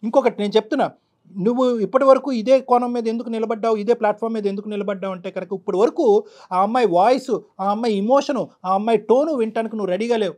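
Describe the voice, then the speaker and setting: A middle-aged man counselling emotionally, emphatic and forceful, often repeating his phrases. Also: high voice, quick pace. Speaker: counsellor doctor on the show. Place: studio.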